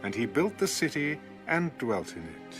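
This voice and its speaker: Man narrating scripture with lively intonation. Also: medium pitch, normal speed, over music. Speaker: Bible narrator.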